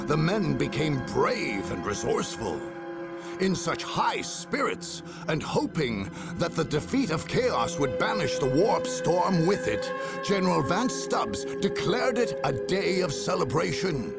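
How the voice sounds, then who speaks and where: An actor playing a grave chronicler of a grim far-future war, narrating a strategy game in a solemn, theatrical, gravelly and husky voice. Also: male voice, a little high, exaggerated voice. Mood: solemn, proud.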